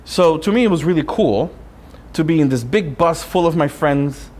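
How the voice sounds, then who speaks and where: A man, a Spanish speaker, preaching a sermon as a friar with personal storytelling, in deliberate phrases broken by pauses. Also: a little high, animated, darkish tone. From Puerto Rico. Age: 30s.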